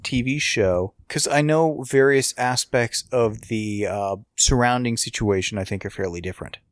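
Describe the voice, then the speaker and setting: Male podcast co-host, mid-pitched, analytical and chatty, very dry recording. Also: moderate pace, no room sound. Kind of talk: casual review chat.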